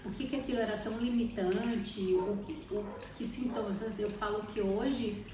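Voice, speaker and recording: A woman's voice: mid-range voice, fairly even intonation, moderate pace. A Brazilian woman speaking reflectively and conversationally as an interview guest, searching for words as she goes. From Brazil, born in Salvador, lived in Teresina. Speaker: podcast guest, architect. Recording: very echoey, distant, noisy with running water. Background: water fountain trickling.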